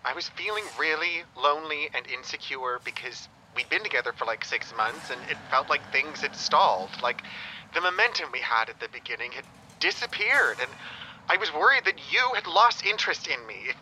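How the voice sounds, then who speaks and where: A very bright, forceful male voice as a flamboyant, gushing ex-boyfriend in an audio comedy-drama. He rambles theatrically and swoops up and down in pitch, with faint noise behind him. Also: animated, brisk, poor audio. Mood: anxious, confessing.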